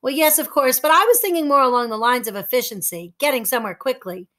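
A polite, earnest little boy, as a woman storyteller puts him on, speaking apologetically and a touch wistfully. The voice stays fairly high and gentle. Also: lively intonation, fast.

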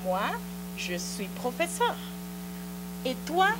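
Middle-aged Mexican woman as a patient TV French teacher, slightly high voice, studio hum behind. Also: very animated, rough recording. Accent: Mexican Spanish. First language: Spanish. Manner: patient, repeats phrases slowly and encouragingly. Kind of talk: slow drilled language lesson. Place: small TV studio set. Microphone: handheld mic. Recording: studio recording with audible mains hum. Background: mains hum.

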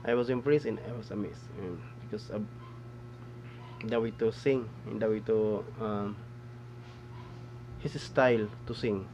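A man in his thirties from the Philippines with a Filipino accent, hosting a casual music reaction video from a small room, enthusiastic and halting, with many fillers and repeated exclamations. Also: on the low side, a little mellow, a little hiss or hum, poor audio. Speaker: reaction channel host. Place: small indoor room with patterned cloth backdrop. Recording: faint music playing behind.

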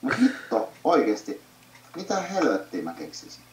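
A young man speaks casually in a slightly low, animated voice. He sounds off-mic in an echoey room, with clear noise and computer clicking behind him. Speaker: friend helping with suggestions. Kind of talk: casual, slangy chat with swearing. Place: indoors near a computer, keys clicking. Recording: noisy, echoey room, distant from microphone.

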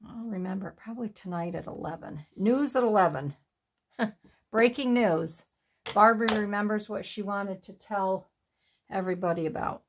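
A woman in her sixties chatting at home while she makes a collage at her craft table, with a breathy, sniffly voice, laughing asides and remarks to herself. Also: medium pitch, normal speed. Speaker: craft channel host. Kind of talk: casual chat while making a collage. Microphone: overhead camera on the desk, hands only in frame.

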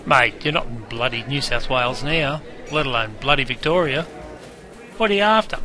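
A man's voice: mid-range voice, expressive, moderate pace, sharp tone, faint music behind. A man playing a cheeky, matey barman in an interactive game, with a broad Australian accent and heavy slang. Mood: teasing. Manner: jokey, teasing, heavy slang. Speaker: bartender guide. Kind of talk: interactive game character patter. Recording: busy crowd noise behind. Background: crowd chatter.

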